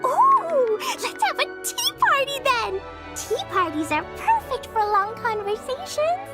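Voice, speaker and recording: Little witch girl for anime game: piercingly high, sweet, playful, swooping delivery. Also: sing-song, takes it slowly, loud music behind the voice, exaggerated voice. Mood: bubbly, welcoming. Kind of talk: anime-style video game character acting.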